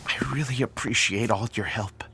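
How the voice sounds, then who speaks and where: A man's voice: on the low side, moderate pace, sharp tone, a little hiss or hum. An actor playing an anxious, meek man in therapy who believes in aliens, in a radio drama. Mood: grateful, meek. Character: anxious, grateful male therapy patient obsessed with aliens.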